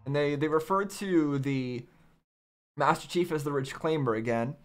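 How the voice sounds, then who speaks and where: A man giving casual reaction commentary, haltingly thinking aloud as a video host. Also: fairly high pitch.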